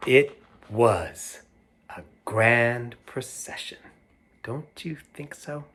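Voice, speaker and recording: A man reads a picture book aloud at a slow, unhurried pace, as if sitting with a group of children. He talks warmly to them, asks them questions and points out the pictures. His voice sits at an ordinary middle pitch. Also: expressive, a little hiss or hum. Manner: unhurried, playful, talks directly to young listeners. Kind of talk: read-aloud storytime with asides about the pictures.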